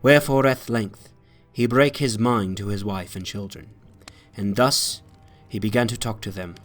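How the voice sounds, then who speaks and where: Man narrating dramatically over music. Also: lowish voice. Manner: solemn, deliberate phrasing with dramatic emphasis. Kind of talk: dramatic literary reading, scripted narration.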